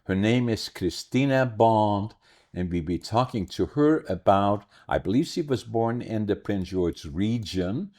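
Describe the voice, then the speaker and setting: A man's voice: fairly low pitch, expressive, measured pace, a little mellow. A man, hosting a podcast and telling stories in a rambling way. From Netherlands. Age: 80s. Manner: rambling, storytelling, self-correcting. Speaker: podcast host. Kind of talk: casual interview chat.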